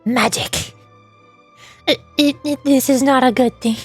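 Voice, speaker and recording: High-pitched woman chatting on a livestream. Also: steady tone, measured pace, breathy edge, faint music behind. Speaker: streamer and VTuber. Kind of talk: casual livestream chat, reacting and rambling about games.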